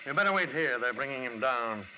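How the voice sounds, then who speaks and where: A man acting a businesslike cop in an old American radio drama. He talks fast in a mid-pitched voice. The noisy recording has a dull sound. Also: expressive, lightly acted. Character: businesslike male police lieutenant.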